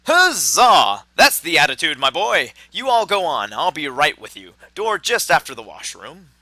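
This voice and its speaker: A man's voice: on the high side, wildly swooping pitch, normal speed, sharp tone, dry recording, heavily acted. A man playing a pompous, blithely jovial mad doctor in a noir radio drama. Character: eccentric, oblivious male mad doctor hosting a dinner, blithely jovial.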